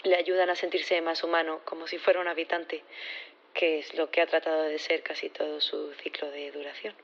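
A woman acting as a nervous science professor on the witness stand in an audio drama, halting, unsure and stammering. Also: sits low, level tone, muffled recording. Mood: hesitant, earnest. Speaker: actor in audio fiction.

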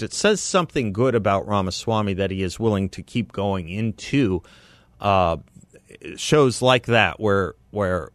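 Radio host guy, kinda low voice, dry studio sound, chatty and self-correcting. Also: no echo. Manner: conversational, self-correcting, reads ads with enthusiasm. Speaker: radio talk show host. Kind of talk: casual radio talk and live ad read. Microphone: studio microphone.

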